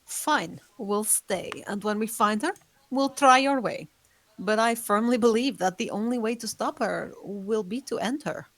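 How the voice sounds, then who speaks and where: A woman playing an ancient, seductive, world-weary female vampire in a table read, with formal, deliberate phrasing. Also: on the high side, fairly bright tone, poor audio. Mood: resigned, firm. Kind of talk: scripted drama table read.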